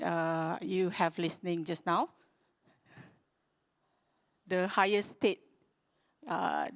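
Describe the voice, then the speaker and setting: A woman with a Malaysian accent giving a conference presentation, speaking haltingly and often searching for her words. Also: fairly low pitch. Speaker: conference speaker from a public radio broadcaster.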